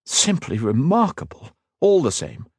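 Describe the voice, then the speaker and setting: A formal, thoughtful elf noble, voiced by a man reading an audiobook. The pitch sits raised and moves lively, with a measured, courtly manner. Dry, close recording. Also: husky, no room sound, full character voice.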